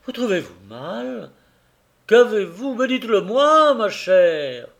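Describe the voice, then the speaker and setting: A man's voice: on the high side, expressive, measured pace, voice pushed up. A man reading aloud and voicing an honest, blunt working-class husband who drives a carrier's cart. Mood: alarmed.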